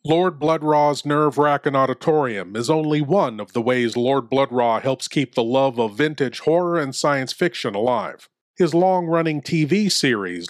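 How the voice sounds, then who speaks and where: A man hosting a horror show with theatrical scripted narration and deliberate spooky showmanship. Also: medium pitch.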